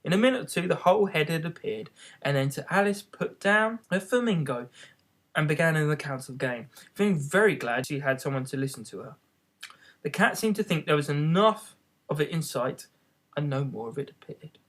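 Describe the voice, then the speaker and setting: An audiobook reader narrating a children's story in an expressive style. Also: medium pitch, normal speed.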